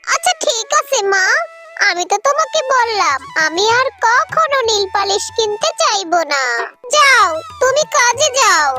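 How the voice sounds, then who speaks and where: A woman doing a squeaky young girl fox cub. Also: big pitch swings, measured pace, exaggerated voice. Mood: sad. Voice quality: high squeaky child-like voice. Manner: whiny, pleading. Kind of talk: cartoon voice acting. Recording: music playing underneath.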